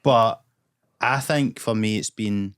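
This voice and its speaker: A man's voice: on the low side, slow pace, a bit bright, clean tone, no echo, clean studio sound. A young Scottish man with a Glaswegian accent, co-hosting a podcast in loose, chatty talk with swearing and laughter. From Scotland. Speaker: podcast co-host. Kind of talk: casual chat.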